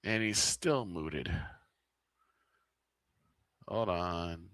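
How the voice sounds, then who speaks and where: A man's voice: deep voice, very slow, fairly bright tone, no room sound, studio quality. A man chatting casually and off the cuff as a broadcast sidekick, in brief interjections.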